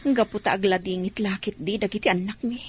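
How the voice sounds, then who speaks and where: A woman's voice: medium pitch, steady tone, fairly quick, natural voice. Woman acting a hurt wife, noisy recording. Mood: bitter, determined. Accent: Filipino, Ilocano. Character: hurt, determined neglected wife. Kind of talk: Ilocano audio drama acting.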